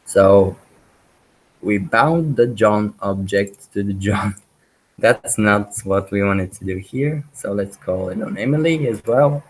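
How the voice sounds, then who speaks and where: A man leads a programming webinar, talking through his code as he types. His voice sits somewhat low and he speaks unhurriedly, filling gaps with ums and backing up mid-phrase to fix his wording. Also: slow, rough recording. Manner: hesitant, many ums and restarts. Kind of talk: live coding walkthrough.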